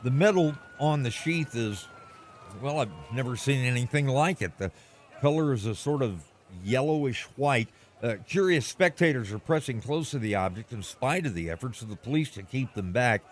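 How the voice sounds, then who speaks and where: A man's voice: mid-range voice, animated, natural voice. Man playing a flustered radio reporter over noise. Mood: awed, flustered. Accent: American. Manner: halting, descriptive, searching for words. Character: earnest, flustered on-the-scene radio news reporter. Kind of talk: radio play live news commentary.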